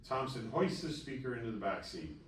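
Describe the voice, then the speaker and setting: A man narrates screenplay action lines at a live table read. His mid-pitched voice carries from away from the mic in an echoey room, with faint noise behind it. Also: big pitch swings, fairly quick, distant mic. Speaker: stage-direction reader. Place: live event room before an audience.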